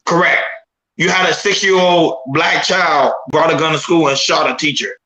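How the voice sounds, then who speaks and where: Haitian-descent guy on a podcast panel, forceful bright voice, keeps repeating himself to make his point, roomy echo. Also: a little high, fairly even intonation, normal speed. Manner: emphatic, repeats himself while building a point. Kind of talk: casual panel discussion.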